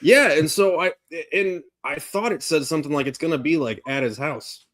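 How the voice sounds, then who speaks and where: A man's voice: on the high side, moderate pace, fairly bright tone. A man in his forties co-hosting a casual panel chat, full of questions and pushback. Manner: quizzical, pushes back with questions.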